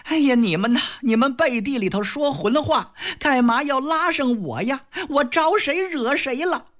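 Woman storyteller playing a wronged young maid, plaintive complaining voice, swings from low mutter to high cry. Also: sing-song, moderate pace. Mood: desperate. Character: wronged young maidservant, aggrieved and desperate.

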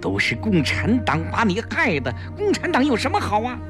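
A male storyteller voicing a quick-witted, emotional young woman who cries out and scolds in a raised falsetto. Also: huge pitch swings, over music. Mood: scolding. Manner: shrill pleading cries, then hushed urgent asides.

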